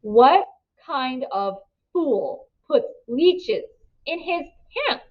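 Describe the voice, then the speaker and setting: A woman's voice: high voice, animated, very slow, lo-fi sound, pitched above the performer's own voice, exaggerated voice. A woman voicing a smug, clever schoolboy in a children's novel, with formal, emphatic phrasing. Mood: gleeful, smug. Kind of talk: children's novel read aloud.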